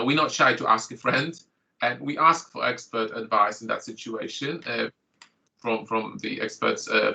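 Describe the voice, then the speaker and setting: A middle-aged man whose first language is Polish welcomes trainees to an online course. His voice is very bright and forward. He talks in a chatty way, full of fillers and restarts, over a computer mic on a video call. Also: medium pitch, vocal fry, low-quality recording. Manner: chatty, filler-heavy, self-correcting.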